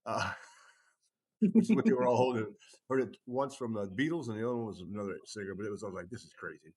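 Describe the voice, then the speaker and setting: Male co-host with a low, rough-edged husky voice, halting and conversational, thinking aloud. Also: expressive, darkish tone. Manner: halting, circling back, repeats himself. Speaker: podcast co-host, heathen group leader. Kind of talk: casual rambling chat.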